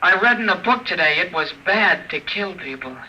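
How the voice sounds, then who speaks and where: An actor playing a gentle, naive male robot in a 1950s radio drama, asking simple, earnest questions. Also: high voice, lively intonation, normal speed, husky, dull audio, heavily acted. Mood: plaintive.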